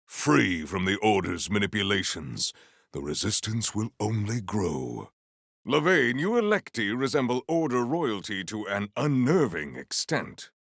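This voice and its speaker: Bombastic noble warrior, wildly swooping pitch. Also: male voice, big pitch swings, unhurried, fairly bright tone, dry recording, clean studio sound, heavily acted. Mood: earnest, formal. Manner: pompous, formal, grandiose theatrical phrasing. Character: noble, bombastic, earnest axe-wielding warrior hero. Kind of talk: video game character voice acting.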